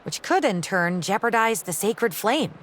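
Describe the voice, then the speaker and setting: A woman's voice: mid-range voice, animated, unhurried, slight background noise. An actress playing a polite, by-the-book female clerk in a video game, speaking formally and explaining rules. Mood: formal, firm. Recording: loud game music behind.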